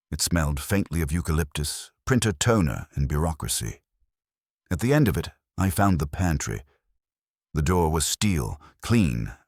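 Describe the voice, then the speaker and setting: Very deep-voiced man narrating detective fiction. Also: creaky, darkish tone, no room sound. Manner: dry, wry. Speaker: audiobook narrator. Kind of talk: scripted first-person detective story narration.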